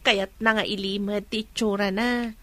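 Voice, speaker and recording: A woman's voice: medium pitch, steady tone, measured pace, no room sound, close to an everyday voice. A Filipino woman playing a gossipy, playful grown daughter in a radio drama. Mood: gossipy, teasing. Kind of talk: Ilocano radio drama acting.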